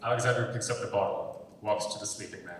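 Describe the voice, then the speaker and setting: A man's voice: fairly low pitch, quick pace, a bit bright. A man reading stage directions as scripted narration, heard from far away in an echoey hall. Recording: echoey hall, far from mic, faint noise.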